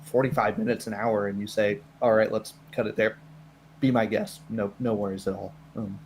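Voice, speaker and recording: A man's voice: on the low side, little pitch movement, normal speed, darkish tone, a little hiss or hum. Chatty man as game master. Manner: chatty and agreeable, often asking "right?" and "you know?". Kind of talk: casual chat after a tabletop roleplaying session.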